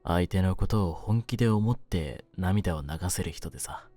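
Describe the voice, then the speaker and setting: A male voice actor plays a young gangster with a low voice, recorded dry and intimate over music. He is brooding and lonely, talking in rough, streetwise words with a soft, clingy undertone, in the style of a situation-voice roleplay. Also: normal speed, no room sound. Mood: melancholy, confessional. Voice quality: low-pitched voice.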